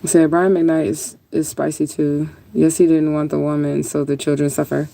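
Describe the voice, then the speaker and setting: Laid-back woman, mellow gravelly voice. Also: low voice, little pitch movement, normal speed, a little hiss or hum, low-quality recording. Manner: rambling, laid-back, profane. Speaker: livestream host chatting with viewers. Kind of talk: casual live chat, answering viewer comments.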